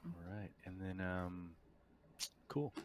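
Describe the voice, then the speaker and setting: A male game master narrates a tabletop roleplaying game in a fairly low, smooth voice. He is deliberate and halting as he sets out scenes and rulings. The sound is dry, with little room in it. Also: no echo.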